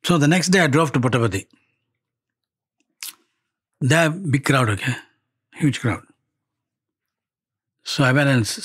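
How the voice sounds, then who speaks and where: An older man with a Malaysian accent shares personal stories in a conversational way. His voice is husky and mid-pitched. He plays out bits of dialogue and often checks that the listener is following. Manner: animated retelling with quoted dialogue and tag questions. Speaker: devotee giving a spiritual testimony. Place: indoor studio set, seated before wood-panelled wall.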